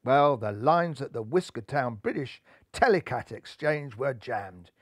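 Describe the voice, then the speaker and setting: A man's voice: medium pitch, very animated, unhurried, dry recording, studio quality. A man reading a storybook aloud as dramatic, theatrical narration, building suspense.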